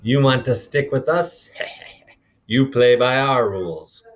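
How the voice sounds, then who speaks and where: Man doing a bossy street boy, raised pitch. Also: slow pace, close to an everyday voice. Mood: bossy, fair. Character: street boy leader, bossy but fair and generous.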